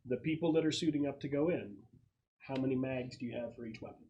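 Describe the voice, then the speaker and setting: A man's voice: medium pitch, fast, muffled recording, a crowd in the background. A man running a casual tabletop roleplaying game among friends as game master, explaining things informally with some banter.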